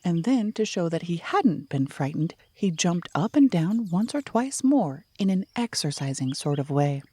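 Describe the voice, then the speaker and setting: A woman's voice: lowish voice, very animated, normal speed, mellow, breathy edge. A woman reading a children's story aloud as an audiobook narrator.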